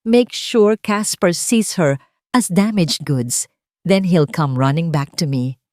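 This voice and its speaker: A spiteful rich woman plotting revenge on her former fiance, acted out by a female storyteller. The voice sits fairly low for a woman, recorded dry and close, in the style of a dramatic romance audio story. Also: takes it slowly, darkish tone, no echo. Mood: cold, spiteful.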